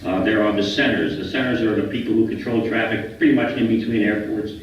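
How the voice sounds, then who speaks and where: An American man in his seventies giving an informal lecture as a guest speaker, in a halting way with repeated and restarted phrases. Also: fairly low pitch, steady tone. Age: 70s. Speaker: guest speaker, retired air traffic controller. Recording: roomy, distant, dull, faintly noisy hall recording.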